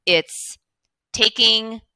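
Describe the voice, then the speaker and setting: A woman's voice: on the high side, very slow, pushed and bright, dry recording, clean studio sound. A woman, telling her story as a guest over a headset video call, earnest and fluent with frequent fillers. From Chicago, USA. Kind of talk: casual interview storytelling. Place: home office with blinds, indoors.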